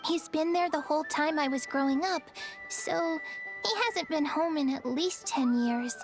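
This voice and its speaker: An earnest, soft-spoken young boy in a video game. His voice is very high and sincere, with a hint of worry. Faint game music sits behind. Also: measured pace. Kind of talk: game voice acting.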